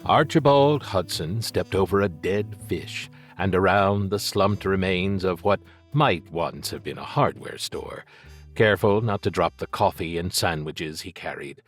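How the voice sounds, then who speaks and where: Low-voiced man narrating an audiobook. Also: unhurried, faint music behind. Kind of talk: scripted fiction narration.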